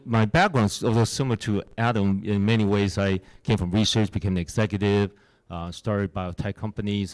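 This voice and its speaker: A man in his fifties from the United States introducing himself on a conference panel, relaxed with frequent "um"s. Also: low-pitched. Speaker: venture capitalist panelist.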